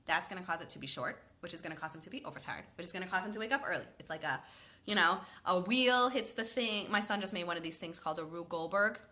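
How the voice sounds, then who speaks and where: A woman in her thirties with a bright voice and a slightly stuffy, cold-struck sound. She talks in a chatty, upbeat way, calling out viewers by name as she answers parents' questions live. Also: medium pitch. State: congested from a cold, sniffling. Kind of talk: live Q&A, reading comments aloud.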